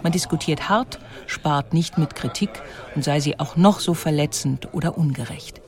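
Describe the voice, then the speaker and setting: A woman reading scripted documentary narration, articulate and deliberate, with emphatic storytelling. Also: deep voice, a little mellow.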